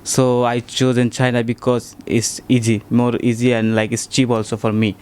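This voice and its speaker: Male student, twenties, Bangladeshi accent, crisp mid-range voice, plain interview answers. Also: mid-range voice, level tone, normal speed, a little mellow. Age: 20s. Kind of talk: simple spoken answers in an interview. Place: student dormitory room.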